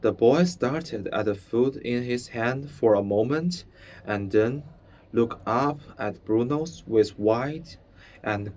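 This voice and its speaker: A young man reading a story aloud as a student, in a halting, deliberate way. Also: lowish voice, a little mellow, a little hiss or hum. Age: young adult. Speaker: student reader. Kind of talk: storybook read-aloud narration.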